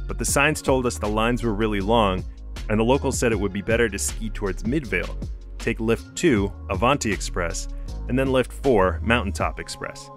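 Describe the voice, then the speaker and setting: Low-voiced man narrating a ski vlog. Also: a bit bright. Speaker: vlogger. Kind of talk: scripted travel narration. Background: light background music.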